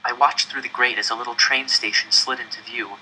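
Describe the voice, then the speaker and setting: Bright, forceful male storyteller. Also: mid-range voice, steady tone, fairly quick, slight background noise, poor audio. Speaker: audiobook-style reader. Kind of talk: dramatic scripted narration of a first-person novel.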